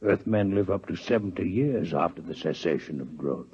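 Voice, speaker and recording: Husky, formal alien doctor. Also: male voice, deep voice, lively intonation, darkish tone, heavily acted. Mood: grave, fascinated. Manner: formal, deliberate, precise. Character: courteous alien doctor from another planet, scholarly, grave. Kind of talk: 1950s radio drama acting. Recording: muffled vintage 1950s radio recording.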